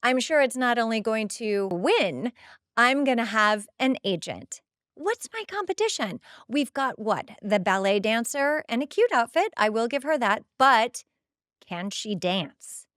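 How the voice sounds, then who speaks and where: A woman's voice: a little high, sing-song, unhurried, a bit bright, dry recording, clean studio sound. A woman playing a vain, snobby, scathing teenage mean girl, haughty and dramatic in a comic monologue. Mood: catty, dismissive. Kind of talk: in-character comic recap monologue.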